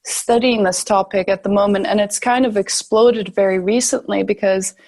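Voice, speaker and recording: A woman's voice: mid-range voice, steady tone, normal speed, a little mellow. Female, young adult, academic podcast guest. Mid-range voice, conversational, thoughtful, hesitant delivery. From Turkey, grew up in the Balkans. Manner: thoughtful, hesitant, searching for words. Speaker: podcast guest, sociology researcher. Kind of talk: conversational interview answers.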